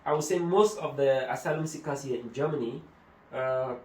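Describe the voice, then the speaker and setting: A man's voice: medium pitch, moderate pace. A man working as a migration adviser, explaining procedures step by step and using German terms. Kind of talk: explanatory talk-show discussion. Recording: echoey room, mic at a distance.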